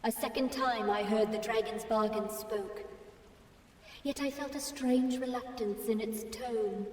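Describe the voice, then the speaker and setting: Actress as a loyal female pawn, slow solemn game lore narration, voice set back in a cavernous reverb. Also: a little high, steady tone, a little mellow, a little hiss or hum, close to an everyday voice. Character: devoted female pawn warrior recalling her master. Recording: distant voice in long echoing reverb.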